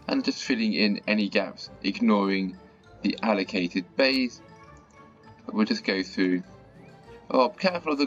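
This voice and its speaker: A man with a croaky, slightly sore-throated voice, chatting casually as he plays and comments on a bus management game. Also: on the low side, a bit bright. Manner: chatty, mildly exasperated at stuck buses. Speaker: gaming channel host. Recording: dull lo-fi audio with faint music.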